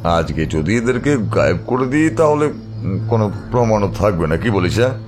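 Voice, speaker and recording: A ruthless crime boss in a horror audio drama, low-voiced and highly animated. He taunts and threatens with mocking questions, over clearly audible music. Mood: sly menace. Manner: menacing, mocking, drawn-out rhetorical questions.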